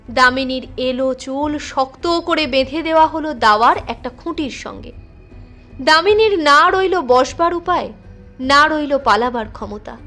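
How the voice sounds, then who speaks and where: A woman's voice: high voice, bright, forceful tone. A woman narrating horror fiction dramatically, as a storyteller reading an audiobook. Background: faint background music.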